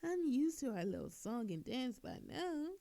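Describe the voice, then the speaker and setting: A woman's voice: high-pitched, sing-song, moderate pace, noisy background. A woman playing an obnoxiously flirtatious, cocky thief in a teasing, theatrical audio roleplay with a sultry drawl. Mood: smug, suggestive.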